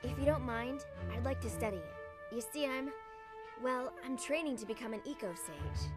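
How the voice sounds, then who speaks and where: High-voiced eager young woman played by an actress. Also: expressive, moderate pace, close to an everyday voice. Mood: hesitant, eager. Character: earnest young woman, eager trainee sage. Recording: music under the voice.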